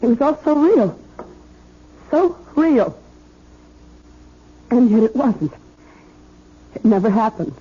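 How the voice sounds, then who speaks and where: An actress playing a fragile, distraught young bride in a 1970s radio drama, halting, tremulous and melodramatic. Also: female voice, on the high side, expressive, husky, exaggerated voice. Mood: anguished, despairing. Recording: dull old radio recording.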